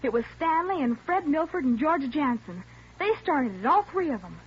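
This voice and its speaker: A woman's voice: big pitch swings, moderate pace. A defiant teenage girl suspect. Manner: shrill, defensive, insistent. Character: defiant nineteen-year-old girl suspect. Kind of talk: 1950s radio drama acting. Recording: dull old radio broadcast with faint hiss.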